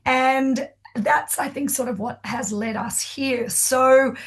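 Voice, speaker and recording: A woman's voice: high-pitched, fairly even intonation, slow pace, slightly rough. An Australian woman whose first language is Swiss German, telling her story with chatty enthusiasm as a guest in a podcast interview.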